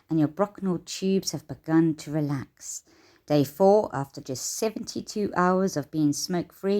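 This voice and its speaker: Low-voiced woman with a cold. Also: warm tone. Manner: chatty, encouraging, repeats and restarts. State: hoarse from a cold. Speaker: life coach. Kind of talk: casual self-help talk to camera.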